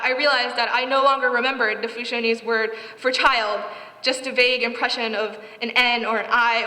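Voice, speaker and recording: A woman's voice: a little high, bright, forceful tone. A teenage girl reading her own prose aloud at a live reading, earnest and reflective with wry asides. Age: teens. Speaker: student writer reading her own story. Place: event hall. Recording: echoey hall with long reverb.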